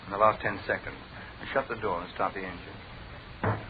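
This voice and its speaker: An actor with a British accent playing a coolly menacing, sardonic private detective who gives clipped commands in a radio drama. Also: male voice, low voice, fairly even intonation, normal speed, close to an everyday voice. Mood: dry, commanding. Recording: thin phone-like old radio recording, crowd-like noise.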